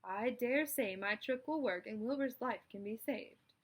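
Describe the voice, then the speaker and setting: A woman voicing a brisk, clever, bossy female spider in a children's storybook, crisp and dryly scornful in manner. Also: on the high side. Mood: brisk, confident. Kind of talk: children's storybook read-aloud.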